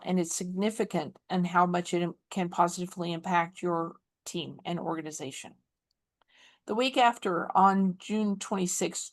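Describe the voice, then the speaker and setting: A woman hosting an online chapter meeting, chatting enthusiastically through the upcoming events over a video call. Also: lowish voice. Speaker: chapter host. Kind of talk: announcements and introduction.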